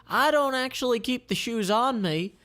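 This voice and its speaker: Comic role: male reader as undercover young princess, raised pitch, earnest, a bit flustered. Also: animated, moderate pace, sharp tone, exaggerated voice. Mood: flustered, evasive. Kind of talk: comic read-aloud character voice.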